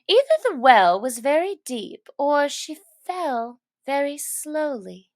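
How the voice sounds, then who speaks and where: A woman reading a children's story aloud in a gentle, soft manner that often drops to near a whisper. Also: fairly high pitch, dry recording.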